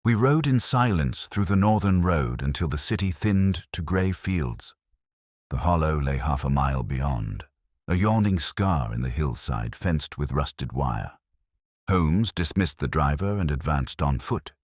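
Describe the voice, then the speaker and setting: A man narrating a scripted mystery story as an audiobook, in a measured, literary and solemn manner. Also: a bass voice, animated, dry recording, studio quality.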